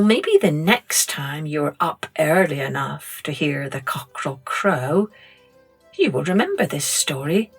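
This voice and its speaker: A middle-aged woman, reading a children's story gently and expressively as a podcast host. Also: on the low side. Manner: gentle, slow and expressive read-aloud.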